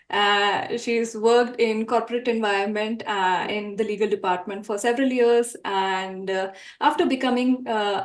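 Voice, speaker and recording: A woman hosting a podcast, speaking in a conversational interview style. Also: mid-range voice. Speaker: podcast host.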